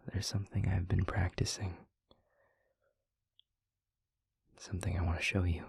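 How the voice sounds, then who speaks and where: A man playing a tender, devoted demon lover in an intimate audio roleplay, speaking gently and poetically in a breathy, hushed whisper close to the microphone. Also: sits low, no echo, heavily acted. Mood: soft, coaxing. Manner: slow, gentle, formal poetic phrasing. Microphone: very close microphone, dry.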